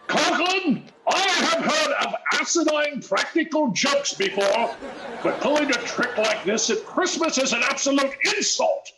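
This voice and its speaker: An actor playing a blustery, pompous older boss in 1940s radio sitcom style. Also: male voice, very high voice, animated, unhurried, over a noisy crowd, very noisy, heavily acted. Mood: indignant. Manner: pompous, theatrical delivery. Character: blustery, demanding older male boss, easily indignant.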